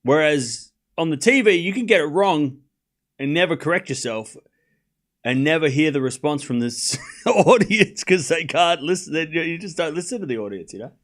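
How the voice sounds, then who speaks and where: A man's voice: fairly high pitch, normal speed. A young Australian man streaming live tennis, calling the points and chatting casually with his viewers. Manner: relaxed and rambling, reads chat aloud and answers it, swears casually.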